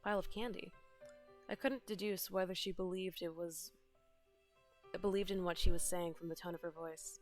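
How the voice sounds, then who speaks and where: A woman's voice: fairly low pitch, steady tone, moderate pace, pauses often, darkish tone. A woman streamer reading game dialogue aloud and translating it, in a dramatic narrating manner. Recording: streamed with game music behind.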